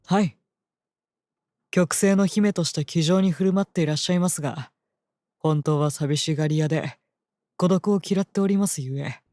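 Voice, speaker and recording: A composed knight, voiced by an actor with a mid-range pitch, speaking with formal courtesy and calm devotion. It is fantasy audio drama acting, recorded close and dry. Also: moderate pace, pauses often, no echo, close to an everyday voice. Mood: fond, gentle.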